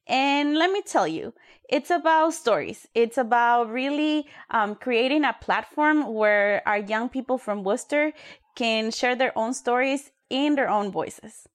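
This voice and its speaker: A woman's voice: a little high, lively intonation, a little slow. A woman from Ecuador co-hosting a youth podcast, chatty and friendly, with fillers. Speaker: podcast co-host, youth worker.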